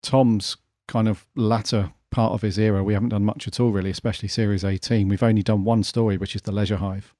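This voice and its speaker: A man's voice: on the low side, flat delivery, quick pace, few pauses, dark tone, no room sound, studio quality. A British man chatting casually and in a laid-back way as a podcast co-host. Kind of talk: casual chat.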